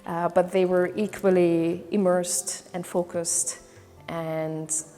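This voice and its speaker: A woman's voice: on the low side, flat delivery, takes it slowly, mellow, in a large hall, faint music behind. A woman from Hungary, a volunteer trainer and career counselor, talks through her project in a testimonial interview, thoughtful and full of hesitations and restarts. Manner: thoughtful, many fillers and restarts.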